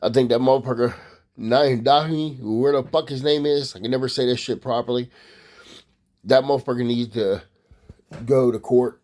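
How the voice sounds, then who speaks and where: A man from Maryland, USA, delivering a profane, rambling casual monologue as a vlogger. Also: mid-range voice.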